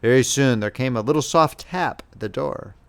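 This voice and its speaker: A man narrating a children's fairy tale as a scripted, expressive audiobook reading. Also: mid-range voice, moderate pace, gravelly, smooth.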